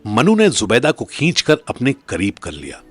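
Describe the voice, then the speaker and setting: A man's voice: mid-range voice, huge pitch swings, normal speed, over music. A man narrating a scripted audio drama story as its narrator.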